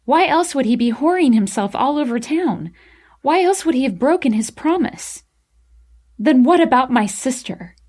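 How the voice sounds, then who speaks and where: A woman's voice: sits high, expressive, normal speed, a little mellow, voice pushed up. A woman voicing a hot-tempered, wounded young woman in an audiobook, accusing and emotional as she argues with her lover. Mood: furious, hurt.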